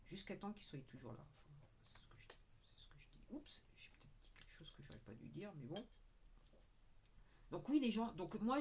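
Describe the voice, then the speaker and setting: A woman with a fairly low voice speaks straight to her viewers in a loose, rambling monologue. She repeats "ok" often and sometimes sinks to a quiet murmur. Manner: addresses viewers directly. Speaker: video creator. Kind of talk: casual talking-head monologue.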